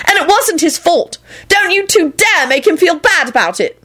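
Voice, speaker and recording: A woman voicing a stubborn, protective, accusing female character with a shrill manner in a story reading. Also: sing-song, fairly quick, fairly bright tone, exaggerated voice. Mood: upset, accusing. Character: Elizabeth, shrill, defiant, protective young female.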